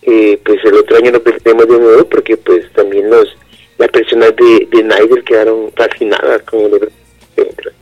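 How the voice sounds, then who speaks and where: A man calling in to a radio show with a thick, hoarse-sounding voice, heard over the phone. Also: lowish voice, flat delivery, normal speed, darkish tone. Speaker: radio caller. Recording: dull phone line.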